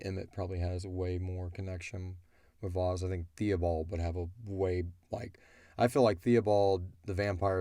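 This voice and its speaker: Middle-aged male host for informal Q&A, very low and dark in tone. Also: no echo. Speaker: audio drama creator and show host. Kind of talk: casual Q&A chat about a show.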